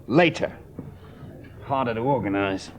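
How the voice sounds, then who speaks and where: An actor playing a hard-drinking aviator husband who talks in a defensive, sneering way. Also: male voice, medium pitch, expressive, measured pace, a little hiss or hum, lightly acted. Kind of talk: 1970s radio drama acting.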